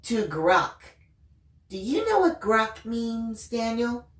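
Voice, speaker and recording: Serene, mysterious young female bookworm, voiced by a woman reader; audiobook dialogue, expressive intonation, roomy sound. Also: on the high side, slow, voice pushed up. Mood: curious, eager. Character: Michaela, serene enigmatic bookish young woman.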